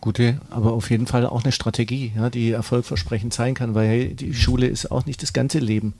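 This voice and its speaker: A man's voice: medium pitch, flat delivery, fairly quick, warm tone. A man in a casual round-table discussion who explains his points and keeps adding tag questions. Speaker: discussion participant.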